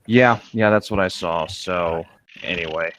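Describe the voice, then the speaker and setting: A man's voice: sits low, unhurried, a bit bright, dry recording. A man reading a story aloud and chatting casually as co-host, with a hesitant manner.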